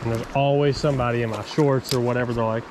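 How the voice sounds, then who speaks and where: A man's voice: medium pitch, steady tone, quick pace. A young man in casual vlog chat, talking in an informal, slangy way outdoors as a fishing vlogger. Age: young adult. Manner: informal, slangy bro talk. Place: outdoors beside a creek. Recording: outdoor noise from water.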